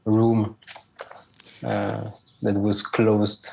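Hesitant low gravelly young man. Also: completely flat delivery, drawn-out words, darkish tone, slight background noise, poor audio. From Switzerland. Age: 20s. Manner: hesitant, many fillers and repeated words. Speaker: interview guest, school alumnus. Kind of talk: casual interview answers.

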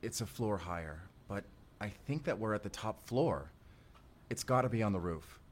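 A man's voice: fairly low pitch, slight background noise. A man playing a young, friendly time traveler in an audio roleplay, talking casually and intimately straight to the listener. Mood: casual, cautious. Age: young adult. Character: friendly, chatty male time traveler, college-aged-looking, eager and cautious. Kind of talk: first-person immersive audio roleplay acting.

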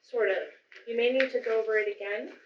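A woman's voice: on the high side, fairly even intonation, fast talker, highs cut off. A woman helping at a seance, heard from a distance on a very noisy, echoey recording.

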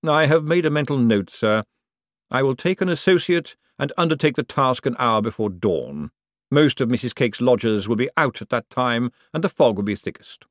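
A man doing a calm deferential servant. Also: mid-range voice, normal speed, no echo, clean studio sound, natural voice. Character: quiet deferential male servant and assassin.

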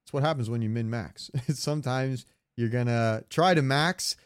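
A man's voice: mid-range voice, normal speed, clean tone, no echo. A man with a clean, resonant voice recorded close and dry, chatting as a podcast co-host. His delivery is informal and rambling, circling around his point in loose, conversational banter about games. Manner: rambling, informal, frequent swearing.

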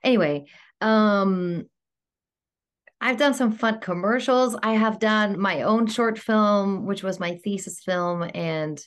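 A woman from Greece, an actor, chatting in a casual podcast interview, upbeat and quick to laugh. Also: mid-range voice. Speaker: guest actor.

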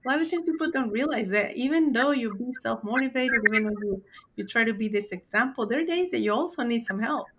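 A woman's voice: a little high, expressive, a little fast, background noise. A woman hosting an interview podcast, speaking in an earnest, encouraging way. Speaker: podcast host.

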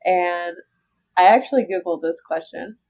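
A woman's voice: on the low side, moderate pace, darkish tone. A woman co-hosting a casual podcast chat, stuffy from allergies, with a wry, easygoing manner. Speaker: podcast co-host. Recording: slightly dull sound.

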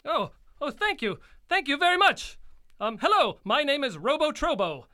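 A woman's voice: high voice, very animated, normal speed, heavy background noise. A woman playing an eager, insecure little robot hero with a hesitant, exclamatory manner in a children's audio play. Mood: polite, grateful. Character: amazing, friendly, insecure little robot hero with odd weapons. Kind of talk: children's audio theater acting.

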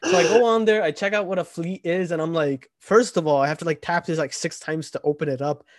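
A man's voice: a little high, steady tone, brisk, no echo. A twenty-year-old man hosting a casual podcast chat, rambling with lots of fillers and frequent "right?" check-ins. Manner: rambling, filler-heavy, keeps asking "right?". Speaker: podcast host.

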